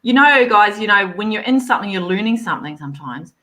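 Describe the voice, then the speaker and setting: A woman's voice: mid-range voice, lively intonation, fast, sharp tone. A woman hosting a live online chat over a video call, upbeat and encouraging. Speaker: host.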